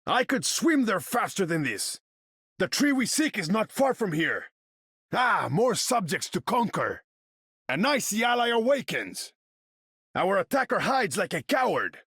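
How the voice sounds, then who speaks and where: A man's voice: sits high, very animated, unhurried, fairly bright tone, breathy edge, dry recording, studio quality, full character voice. An actor playing a proud, haughty sea king warrior, speaking in a regal, pompous, theatrical manner as a video game character. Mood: triumphant battle cry. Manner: regal, pompous, theatrical declamation in formal speech.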